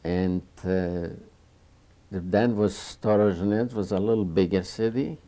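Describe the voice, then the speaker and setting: A man in his seventies from rural Romania, answering interview questions haltingly, with many restarts. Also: sits low, dark tone. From Bukovina, Romania. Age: 70s. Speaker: Holocaust survivor interviewee. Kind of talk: oral history interview.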